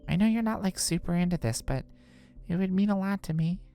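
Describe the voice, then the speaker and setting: A woman's voice: fairly low pitch, fairly quick, mellow, soft background music, natural voice. A woman voicing an impatient tween girl who is eager to fit in, coaxing and dismissive in a visual novel game. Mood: pleading, coaxing.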